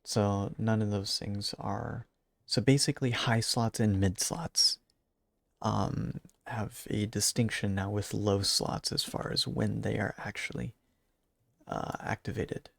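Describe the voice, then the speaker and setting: Quiet, gravelly-voiced late-night gamer man. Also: fairly low pitch, steady tone, slow, no echo. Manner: quiet, rambling with fillers and restarts. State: raspy and congested, late at night. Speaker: gaming YouTuber. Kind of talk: casual gameplay commentary.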